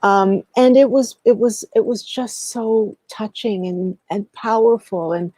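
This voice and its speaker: A middle-aged woman speaking as an interview guest, recounting past work in an earnest, deliberate way and pausing mid-thought. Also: medium pitch, fairly even intonation, dark tone, dry recording. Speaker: guest, historian and educator.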